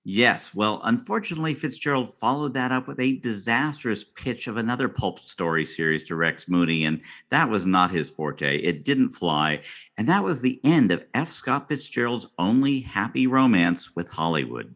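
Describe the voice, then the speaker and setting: A man's voice: on the low side, big pitch swings, moderate pace, a bit bright. An actor playing a florid, theatrical literary scholar on a scripted podcast, savouring every word as he reads aloud. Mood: scholarly relish. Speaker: guest expert on a podcast.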